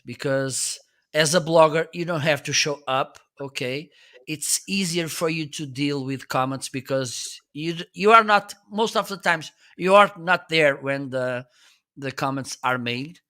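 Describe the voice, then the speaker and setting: Slow, bright-voiced man. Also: a little high. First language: Portuguese. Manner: earnest, checks in with "okay?". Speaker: live show host. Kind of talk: casual live-stream chat.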